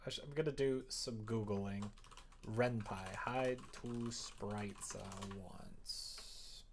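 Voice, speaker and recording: Casual livestream host, mid-low fry-laden voice, thinking out loud and trailing off, typing audible behind. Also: takes it slowly. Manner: muttering, trailing off mid-thought. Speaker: livestream host coding a visual novel. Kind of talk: casual thinking aloud while working. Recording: noisy room, keyboard typing and clicks. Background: keyboard typing, mouse clicks.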